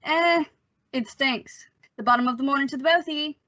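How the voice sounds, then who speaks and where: Woman playing a bright, high-voiced breezy fellow. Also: fairly quick. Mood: jaunty. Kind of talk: old-time radio comedy-mystery acting. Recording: dull lo-fi audio.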